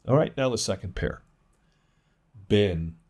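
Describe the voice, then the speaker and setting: A man giving an English pronunciation lesson as a teacher, enunciating example words with deliberate emphasis. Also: lowish voice, expressive, a little mellow. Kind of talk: instructional lesson with demonstrated words.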